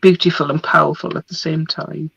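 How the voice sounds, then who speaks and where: A woman's voice: sits low, fairly even intonation, fairly quick, creaky, a bit bright, husky, dull audio. A woman getting a cold, chatting casually as she shows off craft papers, laughing often and trailing off with ums. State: getting a cold, losing her voice. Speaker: host showing craft papers. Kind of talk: casual product show-and-tell.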